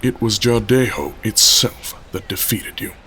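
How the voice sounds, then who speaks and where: A man's voice: fairly low pitch, unhurried, heavy background noise, lightly acted. A man playing a reserved shipbuilder in an audio drama, speaking in a dry, guarded way.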